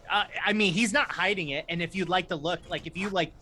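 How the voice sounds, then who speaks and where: Stammering male player, high and bright, playing a doctor at the table. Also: fast talker. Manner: stammering, repeats words. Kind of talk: tabletop roleplay chat.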